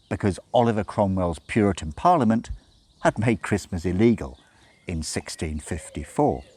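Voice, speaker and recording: A man's voice: fairly low pitch, darkish tone. A man reading a story aloud as scripted narration, with wry, dramatic emphasis. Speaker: audiobook reader.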